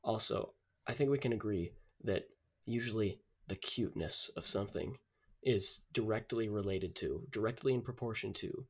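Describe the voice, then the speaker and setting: A man's voice: lowish voice, normal speed, slightly rough. A young man co-hosting a podcast, chatting casually and debating playfully. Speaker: podcast co-host.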